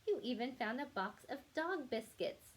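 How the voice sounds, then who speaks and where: A woman playing a sweet young girl in a children's storybook, coaxing and gently scolding her new puppy. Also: sits high, animated, moderate pace, breathy edge. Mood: fond, curious.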